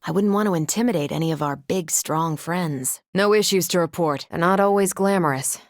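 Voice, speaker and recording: Casting: confident American video game superheroine, acted by a woman, ex-military pilot, lowish voice, dry wit, close dry sound. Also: brisk, dry recording, natural voice. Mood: wry. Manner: dry, wisecracking, self-assured.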